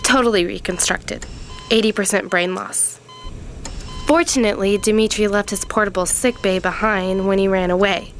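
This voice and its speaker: Lady acting a brisk clinical doctor in a sci-fi radio play, mid pitch, slight noise. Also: fairly even intonation, natural voice. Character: matter-of-fact field doctor, clinical and brisk. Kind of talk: scripted audio drama.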